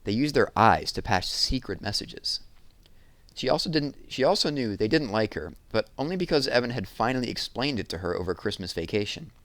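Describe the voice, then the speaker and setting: A man's voice: mid-range voice, lively intonation, fairly quick, a bit bright, no room sound. A man reading a children's novel aloud as a storytelling narrator.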